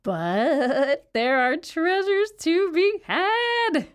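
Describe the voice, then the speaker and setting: A woman's voice: very high-pitched, animated, extremely slow, full character voice. An actress playing a chatty, rambling woman inmate in an audio drama, telling stories in a wry tone. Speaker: actor in an audio play.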